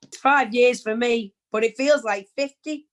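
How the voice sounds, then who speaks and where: A woman's voice: a little high, a little slow, natural voice. A woman playing a dry, sardonic studio manager who speaks in a wry, pointed way.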